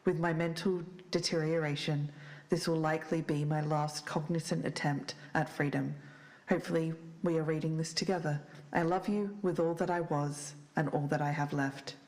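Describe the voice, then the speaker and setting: Low-voiced, measured woman investigator played by an actress. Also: fairly even intonation, a little mellow. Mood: sorrowful, restrained. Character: earnest, faintly bitter female podcast investigator reading evidence aloud. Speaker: podcast co-host. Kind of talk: scripted fiction-podcast narration.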